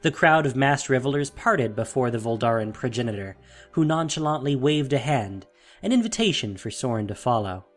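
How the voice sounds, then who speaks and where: Expressive male fantasy story narrator. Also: medium pitch, normal speed, soft background music.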